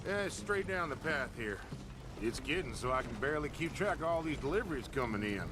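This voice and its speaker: An actor playing a suspicious, loyal rural guard in a video game, folksy and blunt. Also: male voice, on the high side, lively intonation, fairly quick, noisy background, lightly acted. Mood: easing up. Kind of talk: naturalistic video game cutscene acting.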